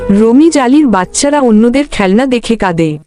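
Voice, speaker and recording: A woman's voice: on the high side, lively intonation, normal speed, warm tone. A woman narrator reading a scripted story for a cartoon. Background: background music.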